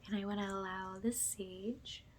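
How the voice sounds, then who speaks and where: A soft-spoken woman who often drops into a whisper as she gently guides an ASMR spiritual cleansing. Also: mid-range voice, fairly even intonation, normal speed, a little hiss or hum. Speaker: ASMR energy healer, host.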